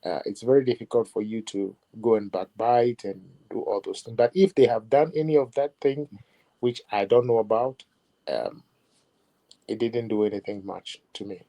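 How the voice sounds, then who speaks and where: A man speaks as a musician guest in an interview. His voice sits low and sounds warm and mellow. He reflects on each point at his own pace and often adds "you know" as he goes. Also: rough recording. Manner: reflective, measured, with many "you know" asides. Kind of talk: interview conversation.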